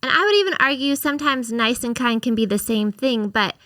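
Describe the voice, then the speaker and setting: A woman co-hosting a faith-based podcast, speaking in a gentle, reflective way as she talks through her book. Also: on the high side, expressive, fairly quick, dry recording, clean studio sound. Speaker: podcast co-host and author.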